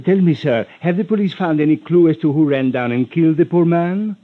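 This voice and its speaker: An actor playing a rich, unctuous villain in an old radio drama, florid and over-polite with hesitant stammers and veiled menace. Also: male voice, fairly high pitch, normal speed, slight background noise, lightly acted. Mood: oily, amused. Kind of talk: 1940s-50s radio drama acting. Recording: muffled old radio recording.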